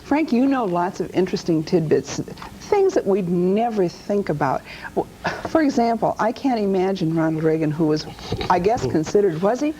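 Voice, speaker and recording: A woman's voice: mid-range voice, lively intonation, normal speed, warm tone, slight background noise, rough recording. A woman hosting a talk show in a casual, chatty interview style.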